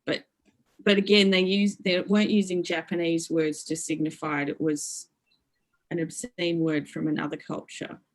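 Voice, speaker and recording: A woman's voice: lowish voice, flat delivery, normal speed, poor audio. A middle-aged woman lecturer being interviewed, thinking aloud with many fillers, restarts and hedges as she explains. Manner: thoughtful, lots of fillers and restarts. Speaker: interview guest, media lecturer. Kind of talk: academic interview talk.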